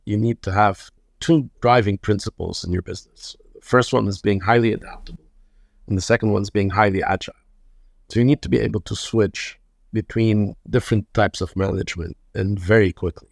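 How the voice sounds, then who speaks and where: A gravelly, fairly low-voiced man in his fifties, from Lebanon, tells his story as an interview guest. He talks unhurriedly and often repeats a word while he finds the next phrase. The sound is close and dry, with no room around it. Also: no room sound. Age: 50s. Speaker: guest, hospital chairman.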